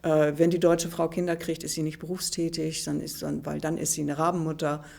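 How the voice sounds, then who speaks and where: A woman's voice: deep voice, level tone, a little fast, vocal fry, darkish tone. A woman in her sixties from Germany, giving a thoughtful, hesitant interview answer. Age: 60s. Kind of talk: reflective interview clip.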